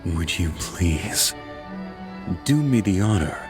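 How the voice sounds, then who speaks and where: A man's voice: low voice, animated, takes it slowly, over music. A man voicing a cool, courteous and formidable crown prince in an anime fan dub, formal and composed.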